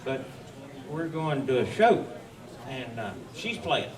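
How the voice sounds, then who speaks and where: A man's voice: mid-range voice, very animated, slow, a crowd in the background. A male songwriter telling a rambling, folksy story onstage with casual swearing. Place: live music festival stage. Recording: echoey live room, distant mic.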